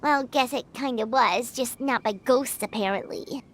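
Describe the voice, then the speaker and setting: A woman voicing a tiny, excitable girl fairy in a video game, with a squeaky childlike voice and a chatty, exclamatory manner. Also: a little slow. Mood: muttering. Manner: childlike, speaks of self in third person, exclamatory.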